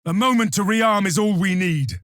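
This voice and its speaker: A man's voice: high-pitched, normal speed, fairly bright tone, dry recording, clean studio sound. A man playing a proud, zealous space marine warrior in a video game, declaiming solemnly and commandingly with contempt for his foes. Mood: urgent command. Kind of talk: video game combat voice lines, theatrical declamation.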